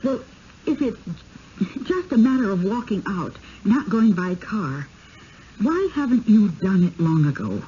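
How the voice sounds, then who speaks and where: An actress playing an anxious, suspicious and stubborn wife in 1970s radio drama style, insistent and probing. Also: female voice, mid-range voice, sing-song, measured pace, dark tone. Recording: dull, hissy old radio broadcast.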